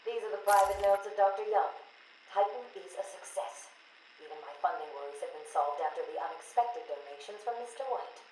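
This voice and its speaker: A woman playing an earnest, clinical psychiatrist in a video game, measured and probing in a professional way. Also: medium pitch, darkish tone, lightly acted. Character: earnest, clinical female psychiatrist, composed but pressured. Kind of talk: video game voice acting, clinical session tapes. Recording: roomy taped interview with faint noise.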